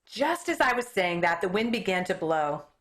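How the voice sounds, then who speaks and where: A teacher reads a chapter book aloud in a bright, clear voice. Her pitch swings widely as she acts out the story. When a tricky name trips her up, she comments on it and keeps going. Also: moderate pace. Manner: expressive storytelling, stumbles on hard names and comments on them. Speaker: elementary school teacher. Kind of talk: reading a children's book aloud.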